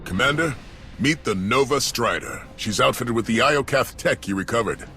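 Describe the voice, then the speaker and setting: An actor playing a proud, formal military ally in a video game. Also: male voice, mid-range voice, lively intonation, moderate pace, sharp tone, faint music behind. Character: proud, formal male military ally presenting war machines. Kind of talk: cinematic game dialogue.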